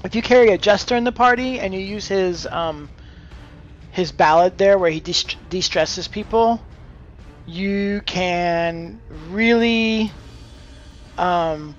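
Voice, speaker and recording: Guy streaming a game, pretty high voice, rambles thru tips, kinda dull lofi mic. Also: slow, soft background music. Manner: hesitant, thinking aloud, exclaiming at the game. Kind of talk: casual live gaming commentary with tips for a fellow player.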